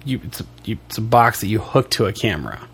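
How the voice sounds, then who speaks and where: A man's voice: lowish voice, sing-song, quick pace, vocal fry, a bit bright, slight background noise. A man co-hosting a casual podcast, wheezy from allergies, joking and chuckling as he rambles through explanations. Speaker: podcast co-host.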